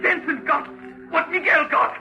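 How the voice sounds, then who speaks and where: An actor playing a frightened, desperate sailor with a strained, panicky delivery in 1940s radio melodrama. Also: male voice, very high-pitched, steady tone, a little slow, lo-fi sound, over music. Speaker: actor in a radio mystery drama. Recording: old 1940s radio recording.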